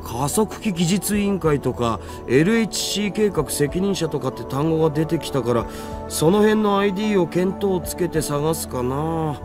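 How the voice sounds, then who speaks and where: A man's voice: fairly high pitch, animated, fast, over music, close to an everyday voice. A man voicing a geeky, easily exasperated young male hacker in a Japanese visual novel, with theatrical slangy speech. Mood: casual, puzzled. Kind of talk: anime-style game voice acting.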